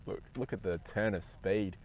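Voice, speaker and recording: A man commentating a cricket match live, chatty and quick to laugh. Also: on the low side, darkish tone. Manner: chatty, laughs easily, many restarts.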